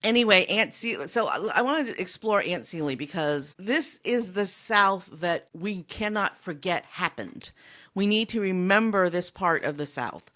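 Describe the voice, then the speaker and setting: A woman's voice: mid-range voice, a bit bright. A woman co-hosting a book podcast, discussing a story in a casual, conversational way. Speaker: podcast co-host.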